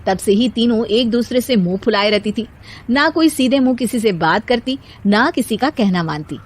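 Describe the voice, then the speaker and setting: High-voiced woman telling a story. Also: expressive, moderate pace. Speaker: narrator. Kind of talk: scripted children's story narration.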